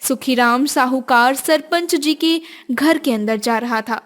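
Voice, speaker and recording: A woman with a high voice narrates a cartoon moral story. She reads scripted lines as a storybook narrator would. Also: unhurried.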